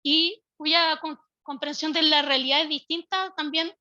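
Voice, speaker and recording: A woman giving a measured, explanatory talk as a speaker from a public early-education institution. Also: high voice, fairly even intonation, sharp tone, dry recording, dull audio. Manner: measured, explanatory, occasional restarts. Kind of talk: online panel talk.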